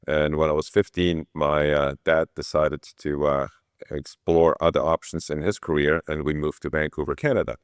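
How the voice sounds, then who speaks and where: Very deep-voiced middle-aged man. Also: flat delivery, moderate pace, smooth, dry recording, studio quality. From Switzerland. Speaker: interview guest, orthopedic surgeon. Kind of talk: conversational personal storytelling.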